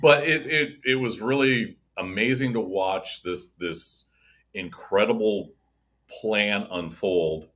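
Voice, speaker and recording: A man's voice: lowish voice, fairly bright tone. A man co-hosting an insurance podcast, chatting casually and often restarting his sentences. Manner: halting, many restarts and repeated words. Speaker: insurance agent co-host. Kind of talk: casual conversational chat.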